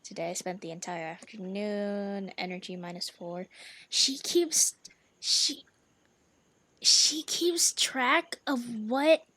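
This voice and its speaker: A woman streamer giving casual gameplay commentary, gushing and halting, often repeating herself. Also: mid-range voice, pushed and bright. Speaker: streamer reacting to a game.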